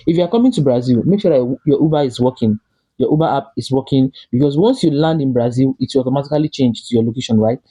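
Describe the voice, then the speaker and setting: A man's voice: on the high side, dark tone, low-quality recording. A man from Nigeria with a Nigerian English accent, chatting casually and emphatically in a vlog, often repeating and restarting his phrases. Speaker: vlogger living abroad.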